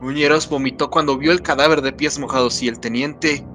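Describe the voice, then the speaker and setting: A man's voice: medium pitch, fairly even intonation, a little fast, fairly bright tone, faint music behind, natural voice. A man playing an anxious, earnest soldier in an audio drama, troubled and hesitant as he confides in his sergeant. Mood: agitated, pleading. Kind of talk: Spanish-language audio drama acting.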